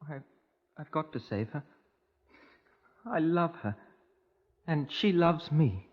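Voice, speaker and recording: A man's voice: on the high side, lively intonation, takes it slowly. Lovestruck young British handyman, old radio. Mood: earnest, subdued. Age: young adult. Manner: emotional, earnest, dramatic. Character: lovestruck, volatile young English handyman and chauffeur. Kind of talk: 1950s radio crime drama acting. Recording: muffled old radio broadcast recording.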